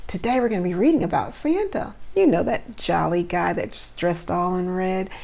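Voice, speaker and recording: A woman preschool teacher doing an animated read-aloud for young children, with simple encouraging questions. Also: lowish voice, normal speed, vocal fry.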